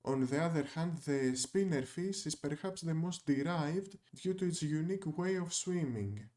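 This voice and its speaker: Young man narrating, a touch high. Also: lively intonation, normal speed, a little mellow. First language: Greek. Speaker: video essayist. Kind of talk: scripted narration.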